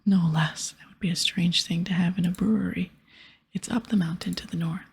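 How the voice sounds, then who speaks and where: A woman voicing a gruff, bossy male pirate captain in a breathy ASMR whisper, with piratical dialect. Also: lowish voice, fairly even intonation, a little fast, full character voice. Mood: bossy, explaining. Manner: piratical dialect, explaining and ordering. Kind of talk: whispered ASMR game dialogue.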